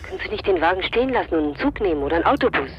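A woman's voice: lowish voice, animated, racing. An actress playing a concerned, solicitous woman speaking anxiously through a telephone filter in a radio crime play. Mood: anxious, caring. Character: concerned woman, secret confidante of the widower. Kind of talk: 1980s radio play acting. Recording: dull band-limited phone line, faint noise.

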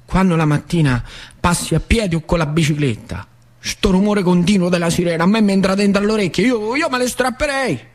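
An actor playing a fiery working-class uncle, a factory worker railing against the bosses in emphatic, repetitive dialect with a central Italian accent from northern Lazio. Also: male voice, sits high, expressive, fairly quick. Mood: pained, fed up. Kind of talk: theatrical monologue in rustic dialect. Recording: music behind the voice.

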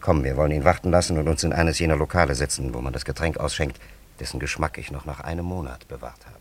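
An actor playing a dignified, pleasure-loving time traveller from an ancient land in a radio drama, with a gravelly, husky voice and lofty, formal diction. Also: male voice, a bass voice, fairly even intonation, fast talker. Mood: disdainful, urgent. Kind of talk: German radio drama acting.

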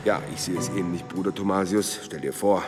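An actor playing a sly, needling medieval monk in a radio drama, gossiping in a mocking, insinuating way. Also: male voice, deep voice, steady tone, fairly quick, music in the background, natural voice. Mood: scandalised gossip. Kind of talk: German radio drama acting.

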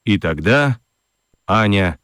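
Lively low-pitched male narrator. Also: slow, clean tone, no echo, clean studio sound. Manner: expressive storytelling. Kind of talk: dramatic scripted narration for children's radio.

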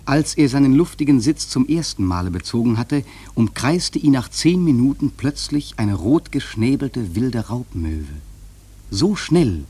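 A man acting a grave sailor who narrates a radio play in a measured, solemn literary style with dramatic swells and a husky voice. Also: mid-range voice, warm tone. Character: grave male sailor narrating a haunted whaling voyage.

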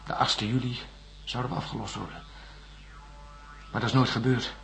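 An actor playing a distrustful, haunted astronaut in a radio drama, answering curtly and defensively. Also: male voice, mid-range voice, moderate pace, a bit bright, slight background noise, lightly acted. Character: bitter, distrustful male astronaut under psychiatric questioning. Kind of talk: science-fiction radio drama acting.

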